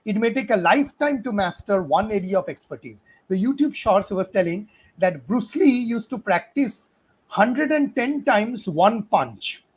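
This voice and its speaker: A man's voice: high-pitched, animated, unhurried, husky, rough recording. A middle-aged man with an Indian accent, answering students' questions on a live stream in a chatty, encouraging way, with many fillers and laughs. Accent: Indian English. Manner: encouraging, fatherly advice to students. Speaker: physics teacher on a live stream.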